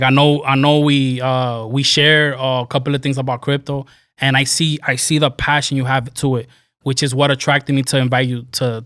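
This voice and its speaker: A man's voice: medium pitch, fairly bright tone, dry recording. Rambling podcast host, man, dry sound. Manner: rambling, repeats words, keeps saying "man" and "right". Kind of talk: casual interview chat. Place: podcast studio.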